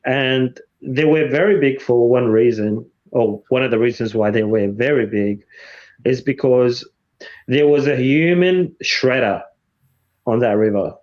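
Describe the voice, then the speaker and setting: A man from Iraq, a guest on a podcast, speaks in a halting way and repeats words as he finds them. His voice sits mid-range, and the recording sounds dull and lo-fi. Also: mid-range voice. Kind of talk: casual conversation.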